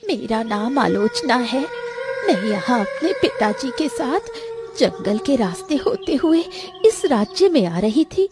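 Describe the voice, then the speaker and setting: A woman's voice: a little high, sing-song, measured pace, over music. A woman voicing a tearful, scheming orphan girl in a cartoon story, with a plaintive, pleading manner. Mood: frightened. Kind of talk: Hindi cartoon story dialogue.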